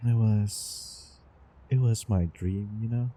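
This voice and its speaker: A man playing a doting, obsessive young student from the future in a halting, intimate audio drama monologue with nervous chuckles. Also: on the low side, a little hiss or hum. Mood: lovesick, wistful. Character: doting, obsessive male time-traveling student in love. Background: soft background ambience.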